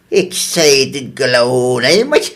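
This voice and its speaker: A man's voice: medium pitch, sing-song, takes it slowly, fairly bright tone. A sensible old Filipino husband and grandfather in a radio comedy drama, acted by a man. The delivery is dry and admonishing. He comes across as the chiding voice of reason. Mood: dry, unhurried.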